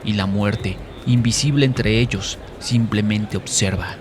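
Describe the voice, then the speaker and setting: A man's voice: on the low side, a little slow. A man giving dramatic scripted narration of a story in a solemn, theatrical manner. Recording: music behind the voice.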